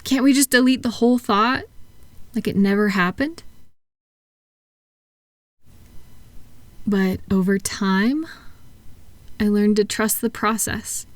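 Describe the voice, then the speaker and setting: Soothing therapist, played by a woman, mid-pitched, soft and patient, for a calming bedtime roleplay; dry, intimate sound. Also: dry recording, close to an everyday voice. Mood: sympathetic, gentle. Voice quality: soft-spoken, gentle. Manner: reassuring, unhurried, soothing. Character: kind, patient female thought-calming therapist soothing a client. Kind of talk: soft-spoken roleplay relaxation audio for sleep.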